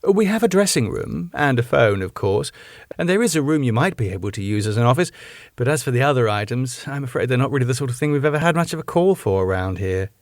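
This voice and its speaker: A man's voice: mid-range voice, sing-song, fast, no echo, studio quality. A man doing a genteel, cheerful lady club owner. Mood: polite. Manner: polite, soothing.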